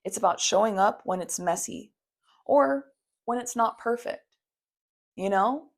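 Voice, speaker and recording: Young woman from maui doing a podcast, earnest, lots of "you know", kinda gravelly fry in the voice. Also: medium pitch, unhurried. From Maui, Hawaii. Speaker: podcast host and therapist. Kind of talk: heartfelt solo podcast monologue.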